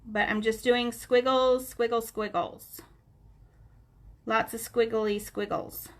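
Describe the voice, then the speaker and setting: Stuffy-nosed lady teaching coloring live, chatty, kinda higher voice, greets viewers. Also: steady tone, slow. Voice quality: nasal. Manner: chatty, talks to viewers by name while coloring. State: stuffy nose, sounds nasal. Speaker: craft instructor on a live stream. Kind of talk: casual live coloring tutorial.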